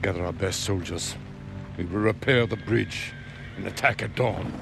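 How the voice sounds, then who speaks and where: A stern middle-aged samurai lord. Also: male voice, low-pitched, normal speed, creaky. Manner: commanding and stern. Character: stern older samurai lord, rigid about honor. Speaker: game character. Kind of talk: video game cutscene acting. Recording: game soundtrack music behind.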